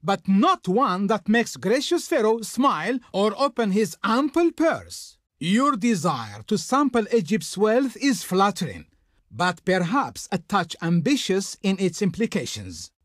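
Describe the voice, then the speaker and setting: Casting: haughty ancient Egyptian court envoy in a strategy game; high voice, swooping delivery, flowery and pompous. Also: male voice, big pitch swings, a little slow, fairly bright tone, dry recording, studio quality, full character voice. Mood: haughty, dismissive. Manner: pompous, declamatory. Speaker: game voice actor playing a diplomat. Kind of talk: theatrical scripted game dialogue.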